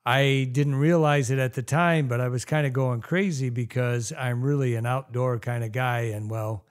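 Older man, plain-spoken and unhurried. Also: medium pitch, steady tone, clear, resonant tone. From Ohio, USA. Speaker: guest. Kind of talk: casual interview chat.

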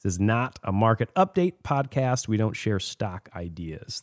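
A younger man hosts a podcast interview. He opens with a clear, scripted welcome. Then he moves on to relaxed, friendly questions. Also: lowish voice. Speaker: podcast host.

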